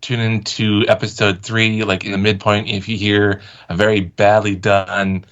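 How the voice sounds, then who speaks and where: A man's voice: fairly low pitch, little pitch movement, normal speed, a bit bright. A man chatting casually as a guest performer, informal and self-deprecating. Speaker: guest voice performer and graphic artist. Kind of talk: casual chat. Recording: dull, muffled call audio.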